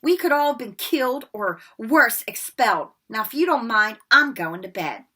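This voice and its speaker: A bossy know-it-all schoolgirl who scolds in prim, clipped sentences, her tone bright and sharp. A woman reading aloud voices her. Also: fairly high pitch, expressive, normal speed, breathy edge, exaggerated voice. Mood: scolding.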